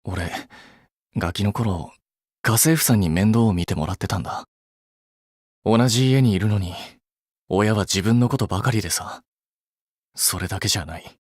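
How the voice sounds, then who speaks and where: A man's voice: on the low side, normal speed, pauses often, dry recording, studio quality, natural voice. A man voicing an earnest, blunt but caring young idol in an anime game, speaking casually and a little awkwardly. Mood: earnest, serious. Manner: casual clipped polite speech, flustered hesitations.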